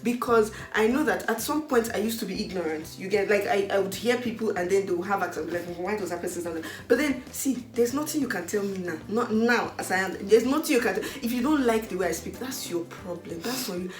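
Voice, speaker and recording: A woman's voice: medium pitch, brisk, few pauses, reverberant. A young woman with a Nigerian accent hosting her own channel, chatting frankly and with animation. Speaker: vlogger host. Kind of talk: casual chat.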